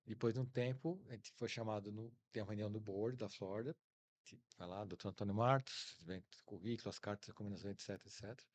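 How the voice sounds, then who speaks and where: A man's voice: lowish voice, steady tone, quick pace. A middle-aged man with a Brazilian Portuguese accent, talking casually as an interview guest and acting out bits of dialogue as he tells his stories. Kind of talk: casual anecdotal interview talk.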